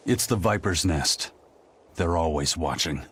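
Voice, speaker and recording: A man's voice: low voice, unhurried, breathy edge. A man playing a rugged, knowing rogue with a dryly teasing manner in a video game.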